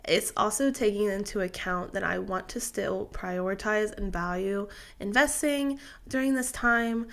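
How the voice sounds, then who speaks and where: A woman's voice: mid-range voice, a little slow. A woman, sounding congested from a sinus infection, explaining her finances in a chatty, methodical way to camera. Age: 20s. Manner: chatty, methodical, with fillers and restarts. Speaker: personal finance vlogger. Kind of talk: casual explanatory talk to camera.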